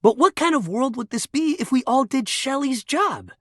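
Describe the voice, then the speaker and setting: A man's voice: extremely high pitch, very animated, normal speed, no echo, studio quality, heavily acted. A man voicing an upbeat, supportive fitness coach in a video game, talking in encouraging, casual bro slang. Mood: mildly exasperated. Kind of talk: video game character voice lines.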